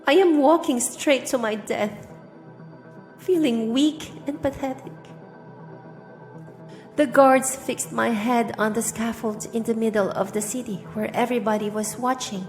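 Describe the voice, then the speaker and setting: High-voiced woman narrating dramatically in reverb. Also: unhurried, a little mellow. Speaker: story narrator. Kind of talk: dramatic first-person scripted narration. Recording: long reverb, music bed. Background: music.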